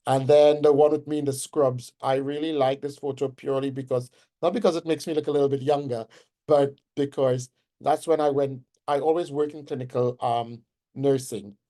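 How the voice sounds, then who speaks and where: Trinidadian man lecturing, mellow tone. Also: mid-range voice, steady tone, moderate pace. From Trinidad and Tobago. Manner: warm, personal, conversational lecturing with fillers. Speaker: guest speaker, nursing professor. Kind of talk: webinar lecture.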